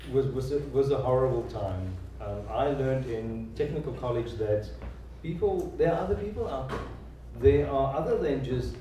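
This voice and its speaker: A man from South Africa in his thirties, a guest telling his life story in an interview, earnest and halting, with many restarts. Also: medium pitch, dark tone, slight background noise. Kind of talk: conversational oral-history interview. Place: large echoey room, seated on stage. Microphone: handheld mic. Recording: roomy hall sound, mic picked up from a distance.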